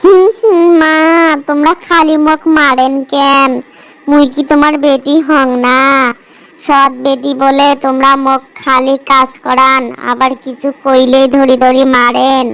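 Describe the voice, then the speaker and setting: A woman's voice: high voice, little pitch movement, measured pace, a bit bright. A woman voicing a plaintive young village stepdaughter in a cartoon story, with a northern Bangladeshi accent. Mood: hurt, complaining. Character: put-upon young village stepdaughter, dutiful but plaintive. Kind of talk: amateur cartoon story voice acting.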